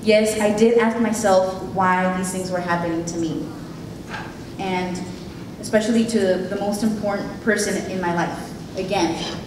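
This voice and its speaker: A woman's voice: medium pitch, steady tone, unhurried, background noise. Earnest young woman in an echoey hall. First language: Spanish. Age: late teens. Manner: earnest, heartfelt, reading from notes. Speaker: keynote speaker. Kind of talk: prepared speech. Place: event hall. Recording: echoey hall recorded from a distance.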